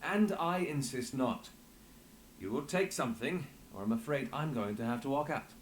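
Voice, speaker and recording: A male actor as an earnest adventuring leader in a video game, with lively, varied intonation. He is firm and direct, and there is faint noise behind the voice. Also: medium pitch, moderate pace, natural voice. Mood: friendly, insistent. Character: firm, earnest adventurer leading a party of companions.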